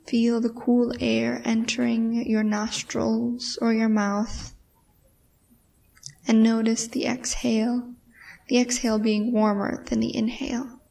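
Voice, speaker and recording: A woman guiding a meditation in a measured way with pauses, her breathy, creaky voice often trailing toward a whisper. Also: a little high, level tone, darkish tone, far from the mic. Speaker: meditation guide. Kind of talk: guided meditation.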